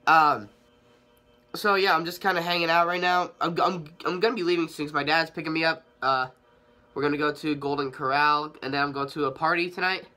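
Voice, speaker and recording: A man's voice: on the high side, steady tone, brisk, bright, forceful tone. A young man giving a rambling, hesitant vlog update while sick with a cold, his voice slightly nasal. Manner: rambling, hesitant, many ums and restarts. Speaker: vlogger.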